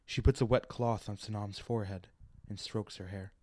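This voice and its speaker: A man's voice: on the low side, steady tone, moderate pace, a little mellow. A man giving scripted narration, reading stage directions as the narrator of a radio play.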